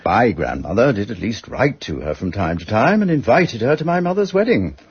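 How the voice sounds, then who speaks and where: An actor playing an urbane, guarded English solicitor in a radio drama, speaking educated English with a measured, formal and obliging manner. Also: male voice, lowish voice, very animated, slightly rough, dull audio, heavily acted. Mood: measured recounting.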